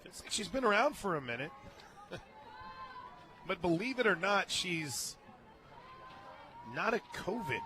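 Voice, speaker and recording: An American man doing live radio play-by-play of a softball game, chatting between pitches. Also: big pitch swings, measured pace, long pauses. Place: stadium radio booth, open to outdoor noise. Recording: clear outdoor ballpark noise.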